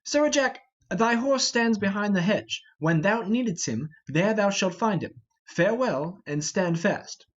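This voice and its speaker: A man voicing a brisk, scheming companion of thieves in an amateur reading of a Shakespeare play. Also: sits high, lively intonation, muffled recording. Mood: brisk, conspiring.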